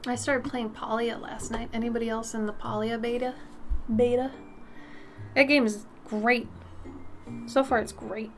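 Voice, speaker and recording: A woman in her late thirties talks casually with her stream chat while she paints, frank and rambling, her voice sitting a little high. Soft music runs faintly behind her. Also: little pitch movement, unhurried, pauses often. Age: 30s. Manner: chatty, reads and answers chat, frank and loose.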